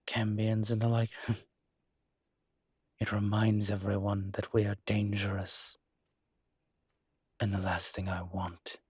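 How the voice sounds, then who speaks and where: A man playing a suave, proud incubus demon in a roleplay audio drama, speaking in a husky voice with wry, articulate, emphatic phrasing. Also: fairly low pitch, no echo, studio quality. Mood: wry, troubled. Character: suave adult male incubus demon, proud, wry, protective of his kind. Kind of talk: roleplay audio drama, intimate conversational monologue.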